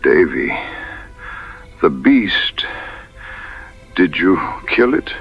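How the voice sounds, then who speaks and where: Male actor as injured stoic warrior, very deep, slow, husky, weakened, grave, audio adventure drama. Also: big pitch swings, muffled recording, faint music behind, full character voice. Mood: pained, admiring. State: weak from injury. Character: wounded, stoic Native warrior, grave and admiring.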